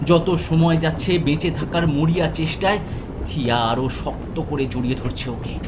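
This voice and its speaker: A man narrating a scripted horror story with intense, suspenseful, dramatic delivery. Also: mid-range voice. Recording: loud ambient noise behind the voice.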